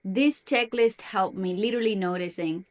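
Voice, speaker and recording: A woman's voice: mid-range voice, moderate pace. A young woman from Argentina whose first language is Spanish, talking earnestly to camera about her own life in a self-help vlog. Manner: earnest, confiding, with a few restarts. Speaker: self-development vlogger. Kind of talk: personal talk to camera.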